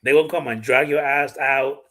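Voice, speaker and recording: A man's voice: medium pitch, fairly even intonation, a little fast, a crowd in the background. A middle-aged man from the Dominican Republic with a Dominican accent, hosting a casual live chat, talking bluntly and swearing freely. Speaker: livestream host.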